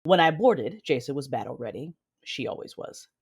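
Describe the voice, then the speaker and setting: An actress playing a vengeful female Sith lord, narrating her own story in an audio drama with intense, deliberate delivery. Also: extremely low pitch, lively intonation, gravelly, breathy edge, full character voice. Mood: grim resolve. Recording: music behind the voice.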